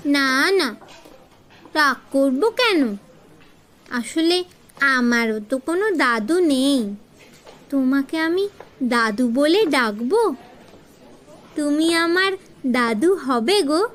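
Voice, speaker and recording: An eager little boy, played by a man, speaks in a high, sweetly questioning voice. He draws his words out very slowly and innocently, with lively swings, as a friendly child character in an audio story. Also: heavily acted.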